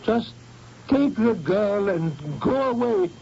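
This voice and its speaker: An actor playing a desperate, volatile young painter who talks in frantic, broken phrases, in a 1970s radio drama. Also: male voice, high-pitched, wildly swooping pitch, slow, creaky, dark tone, heavily acted. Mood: remorseful. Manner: frantic, pleading, breaking off mid-phrase. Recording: dull old radio broadcast, faint hiss.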